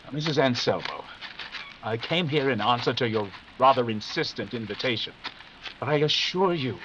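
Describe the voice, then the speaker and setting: A man's voice: medium pitch, animated, normal speed, lightly acted. Man as a self-assured radio-play detective, formal, authoritative, swooping intonation, slightly noisy dull audio. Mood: dry, irritated. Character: brisk, commanding, self-assured male detective. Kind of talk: 1940s radio mystery acting.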